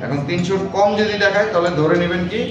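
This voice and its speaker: Male instructor, higher-pitched voice, quizzing and repetitive, captured in a heavily reverberant classroom. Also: fast. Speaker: electronics repair instructor. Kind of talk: classroom lecture with check questions. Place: echoey indoor classroom. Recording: very echoey room, far mic, clear noise, dull.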